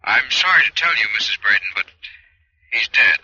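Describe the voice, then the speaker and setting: Radio-drama police sergeant: official, matter-of-fact, very piercing and husky through a telephone filter. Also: male voice, mid-range voice, animated, moderate pace, bright, forceful tone, highs cut off, heavily acted. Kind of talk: 1940s radio drama acting. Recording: thin phone filter, vintage radio.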